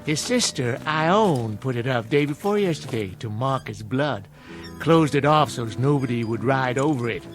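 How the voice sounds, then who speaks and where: A man's voice: mid-range voice, animated, moderate pace, close to an everyday voice. An actor playing a gossiping old man in an old Western drama, folksy and needling, with an American Western accent. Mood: sly, ominous. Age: older. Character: gleeful, gossiping, eerie old male Western geezer. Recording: vintage TV drama audio with music.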